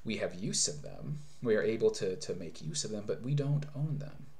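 A man in his thirties delivers a sermon from a small room at home, speaking into a webcam at desk distance. His voice sits somewhat low, and his preaching is earnest and explanatory, with frequent restarts as he works through a point. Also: big pitch swings, moderate pace, creaky, a little mellow. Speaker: pastor.

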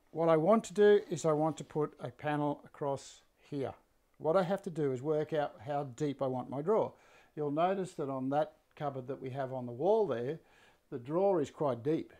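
A man in his sixties with a slightly croaky voice, casually explaining a woodworking build to a live audience from his workshop, full of fillers and restarts. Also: a little high, moderate pace. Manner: relaxed, rambling, many fillers and restarts. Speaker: woodworking teacher and live-stream host. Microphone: fixed camera, mid-distance framing.

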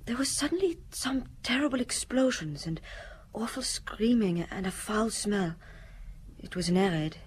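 A woman playing a sad, quiet young refugee widow in a radio drama, speaking in a halting, reflective way. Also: medium pitch, animated, slight background noise, close to an everyday voice. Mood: sad, remembering.

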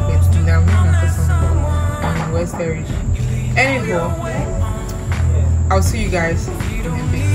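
A young woman from Nigeria with a Nigerian accent, chatting to camera as a travel vlogger, gushing and upbeat. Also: on the low side. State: tired after a long trip. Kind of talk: casual vlog talk to camera.

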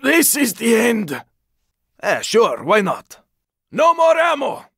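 Raspy, strained zombie-game fighter guy. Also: very high voice, wildly swooping pitch, slow pace, dry recording, clean studio sound, exaggerated voice. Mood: weary, resigned. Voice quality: raspy, gravelly. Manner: urgent, forceful short callouts. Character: gritty, battle-weary male zombie-apocalypse survivor fighter. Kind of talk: video game combat barks.

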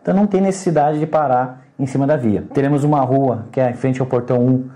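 A man's voice: mid-range voice, fast, dark tone. A middle-aged man explaining things as an official in an interview, often ending phrases with a tag question. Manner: frequent "né" tags. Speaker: traffic agency official being interviewed. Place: indoors at radio station.

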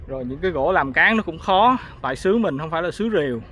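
A man's voice: a little high, expressive, fast talker, sharp tone. A man in his thirties chatting casually to the camera in a sunny field, tired and hot from chopping wood, joking and laughing as he talks. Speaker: camping vlogger. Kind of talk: casual outdoor vlog chat. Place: overgrown field outdoors, sunny. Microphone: action camera at arm's length. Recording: outdoor camera audio with clear background noise.

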